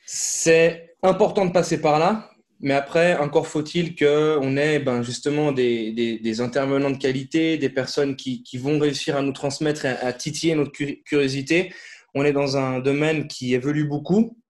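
A man's voice: a little high, fairly even intonation, normal speed. Hesitant man talking easily. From Switzerland. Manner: hesitant, many fillers and restarts. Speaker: podcast guest, CrossFit coach. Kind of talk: casual podcast interview.

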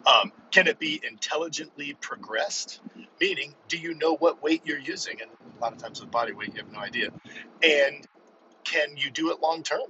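A man's voice: on the high side, normal speed, sharp tone. A man in his fifties hosting a casual solo podcast as a coach, rambling informally and with emphasis. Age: 50s. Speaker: podcast host and physical therapist coach. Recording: dull lo-fi, vehicle noise behind.

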